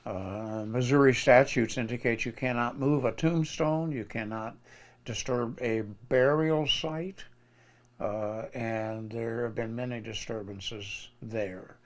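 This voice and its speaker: A man with an American accent giving unscripted interview remarks in halting, hesitant phrases. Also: mid-range voice, steady tone. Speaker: foundation director being interviewed.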